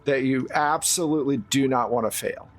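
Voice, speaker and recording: A man's voice: mid-range voice, moderate pace. A man narrating a horror tabletop roleplaying game as the game master. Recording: music behind the voice.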